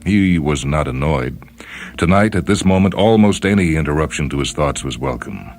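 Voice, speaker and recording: Very deep-voiced male story narrator. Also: animated, darkish tone. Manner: deliberate, measured. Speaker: host and story reader. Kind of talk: scripted dramatic narration. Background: music under the voice.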